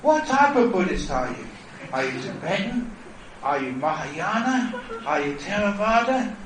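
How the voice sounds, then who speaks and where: A monk voicing a desperate man on a bridge who is loud, agitated and quick to take offense. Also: high voice, very animated, crowd noise, voice pushed up. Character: desperate, touchy man about to jump from a bridge. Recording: echoey hall, mic from a distance.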